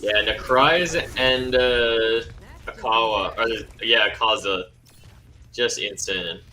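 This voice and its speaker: A man giving relaxed color commentary on an online esports tournament. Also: fairly low pitch, slow pace. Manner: relaxed, brief agreeing remarks and analysis.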